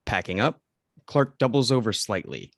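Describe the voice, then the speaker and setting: A man's voice: fairly low pitch, very animated, normal speed, no room sound, clean studio sound. A man reading scripted stage directions as narrator at a table read.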